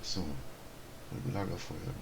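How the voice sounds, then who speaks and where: A man's voice: low voice, little pitch movement, measured pace, vocal fry, background noise. A German man doing casual let's play commentary, muttering short remarks to himself.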